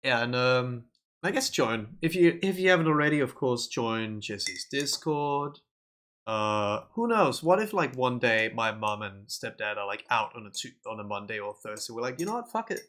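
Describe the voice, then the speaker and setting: A man co-hosts a stream with a bright, forward tone. His pitch is middling. He is playful and laughs readily while he plugs the channel. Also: very animated, normal speed. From not Australia. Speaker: stream co-host. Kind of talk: casual stream chat.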